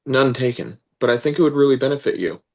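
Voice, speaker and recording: A man playing a calm, devout believer. His voice is mid-pitched and he is gently direct, acting naturally as at a script reading. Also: fast, natural voice. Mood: calm, sincere. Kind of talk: naturalistic table read acting.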